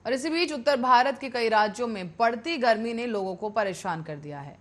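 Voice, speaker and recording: A woman's voice: on the high side, a little fast, a bit bright. A woman reading scripted news as a television anchor.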